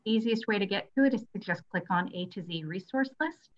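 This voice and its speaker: A woman with a mid-pitched voice hosts an online webinar, casually giving housekeeping notes and instructions. The sound is dull and lo-fi, picked up over a video call. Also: expressive, brisk. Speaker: librarian hosting the webinar. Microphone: video call microphone.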